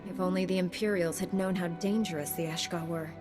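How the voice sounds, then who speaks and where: A woman voicing a composed, resolute knight in a video game cutscene, measured and confident. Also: on the low side, fairly even intonation, over music, close to an everyday voice. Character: composed, resolute young female Jedi knight.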